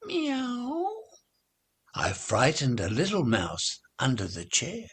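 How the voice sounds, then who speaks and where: A man's voice: fairly high pitch, wildly swooping pitch, slow pace, a bit bright, slightly rough, pitched above the performer's own voice, heavily acted. A man voicing a small, playful pussycat from a nursery rhyme, mewing and proudly telling of its adventures.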